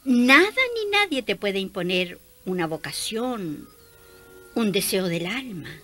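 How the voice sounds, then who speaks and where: A woman playing a gentle, cheerful young missionary nun in a radio drama, affectionate and giggly. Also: medium pitch, sing-song, takes it slowly, soft background music. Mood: kindly.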